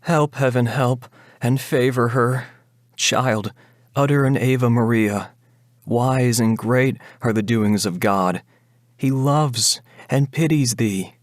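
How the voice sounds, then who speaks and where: A man's voice: mid-range voice, slow, no room sound, clean studio sound, natural voice. A man voicing a pious older mother, tender and admonishing, imploring in a prayerful way in a dramatic ballad reading. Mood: pleading, pious.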